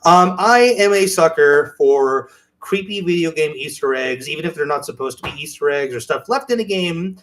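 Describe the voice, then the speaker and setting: A man with a fairly high voice chats casually as a podcast co-host. He presents in a halting way, often breaking off and restarting his own sentences. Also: expressive, fairly bright tone. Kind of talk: casual show-and-tell chat.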